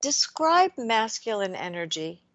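Woman, radio show host, measured and reflective, dry close sound, a little dull. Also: on the high side, sing-song, no room sound. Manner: measured, reflective, asks long framing questions. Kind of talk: radio interview.

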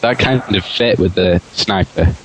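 A man's voice: deep voice, measured pace, a crowd in the background, low-quality recording. A man on a gaming podcast panel, chatting casually.

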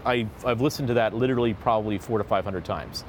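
A man hosting a casual roundtable discussion, talking in an animated and jokey way with an upbeat lilt. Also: fairly low pitch, fast, noisy background. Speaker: host of a discussion panel. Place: seated at a table in a city.

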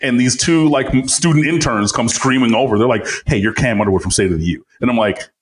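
A man with a low bass voice, a guest on a podcast, telling stories in a rambling, animated way full of fillers. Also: fast, a little hiss or hum. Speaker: podcast guest, site editor. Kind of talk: casual chat.

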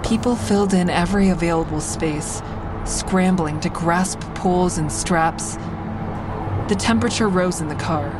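Female actor for earnest, haunted survivor, audio drama lead. Slightly low, deliberate, intense narration over clear music. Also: lightly acted. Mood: haunted, somber. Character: haunted woman survivor of a train shooting, earnest.

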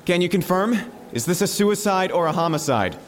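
An actor playing a pushy, persistent news reporter in an audio drama, calling out insistent questions. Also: male voice, high-pitched, expressive, normal speed. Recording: noisy room ambience, distant voice.

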